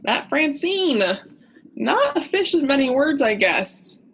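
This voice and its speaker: A woman's voice: high voice, big pitch swings, takes it slowly, a bit bright, exaggerated voice. A young woman playing a melodramatic, lonely, self-pitying male diver in a comic radio play, with a theatrical manner. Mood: lonely griping. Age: young adult. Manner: theatrical, gushing then griping.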